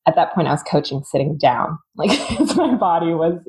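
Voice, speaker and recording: A woman's voice: deep voice, lively intonation, normal speed, darkish tone. A woman in her thirties from Singapore, a fitness coach telling her story as a podcast guest in a chatty, candid way, often laughing mid-sentence. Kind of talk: casual conversational storytelling.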